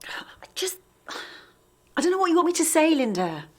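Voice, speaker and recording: A woman's voice: sits high, sing-song, measured pace. A woman playing a protective, guarded, worldly-wise friend in a naturalistic audio drama. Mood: guarded, bitter.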